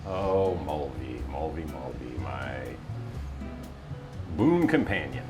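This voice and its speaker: Very deep-voiced American man, wryly self-deprecating. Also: very animated, takes it slowly, over music. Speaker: interview guest, office voiceover talent. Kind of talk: casual interview chat.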